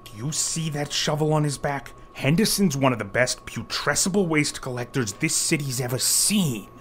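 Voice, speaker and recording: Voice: mid-range voice, lively intonation, normal speed, a little hiss or hum, close to an everyday voice. An actor playing a tactful, folksy go-between in an audio drama, persuasive and a little hesitant.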